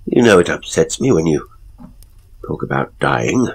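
A man voicing a secretly scheming husband with a soothing, measured manner in a horror story. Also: extremely low pitch, big pitch swings, husky, exaggerated voice. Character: smooth-talking, secretly scheming husband of an ailing wife.

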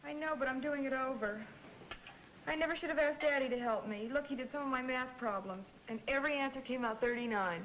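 An exasperated American schoolgirl daughter in vintage TV comedy. The voice is high, with a noisy old soundtrack behind it. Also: a little fast, a bit bright. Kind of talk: 1950s television comedy acting.

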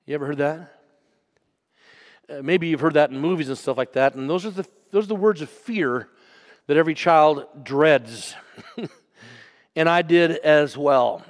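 Storytelling pastor in a reverberant church. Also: male voice, on the high side, unhurried, pauses often. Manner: storytelling, swings from loud to hushed. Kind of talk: sermon. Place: church sanctuary.

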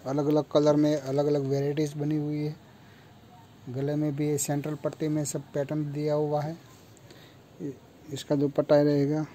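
Young male seller from India, monotone delivery, mellow, slightly high voice, phone recording in a shop. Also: moderate pace, long pauses, slight background noise. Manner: flat, matter-of-fact listing of features. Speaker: kurti factory seller. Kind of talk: product showcase walkthrough. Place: clothing store with fabric shelves.

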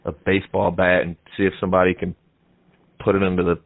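A young man making a deadpan prank call in a casual, halting way, heard through muffled, narrow audio. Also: low voice, no echo. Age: young adult. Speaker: prank caller, comedy show host. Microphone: headset microphone.

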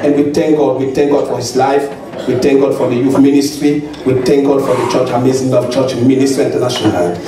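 A middle-aged man with a Cameroonian accent, a pastor addressing his congregation, animated and punctuating with hallelujah and amen. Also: medium pitch, a little fast, background noise. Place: large church hall stage. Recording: echoey hall with crowd, from far off.

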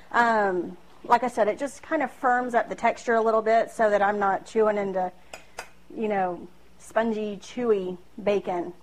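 A woman's voice: mid-range voice, slight background noise, rough recording. A woman in her thirties giving a casual cooking demonstration in a small home kitchen, chatty and self-deprecating, recorded by a camera across the room. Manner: chatty and self-deprecating, with frequent fillers and laughs. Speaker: home cooking video host.

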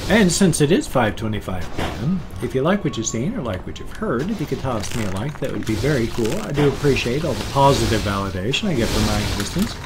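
An older man in his sixties hosts a casual let's play, chatting and muttering along as he goes. His voice sits at an ordinary middle pitch, recorded close at home, with loud game music behind him. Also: big pitch swings, brisk, few pauses. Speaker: gaming channel host. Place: small room at home. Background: loud video game music.

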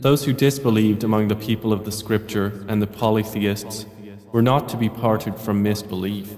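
A man with a fairly low voice reads scripture aloud as a narrator. His delivery is solemn and deliberate, broken into measured phrases. A long reverb trails behind his words. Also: fairly even intonation, a little mellow. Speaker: narrator of English Quran translation.

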